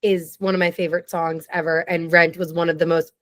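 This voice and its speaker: A young woman guesting on a podcast, chatting eagerly in a low, raspy voice with plenty of vocal fry. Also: flat delivery, dry recording, studio quality. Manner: chatty and enthusiastic, quick tangents. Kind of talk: casual chat.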